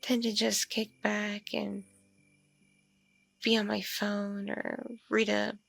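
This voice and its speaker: A woman playing a mature, flirtatious, spacey female vampire in an ASMR roleplay, speaking softly and hesitantly, as if in a one-sided intimate conversation. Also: medium pitch, monotone, creaky, no room sound, heavily acted. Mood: relaxed, self-deprecating. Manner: hesitant, murmuring, lingering pauses with ums and mms. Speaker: roleplay voice performer.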